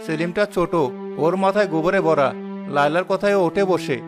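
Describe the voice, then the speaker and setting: A man's voice: on the high side, a little fast, over music, lightly acted. A man playing a meek farmer husband and elder brother in a cartoon story, mild and conciliatory. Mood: placating. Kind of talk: melodramatic cartoon story dialogue.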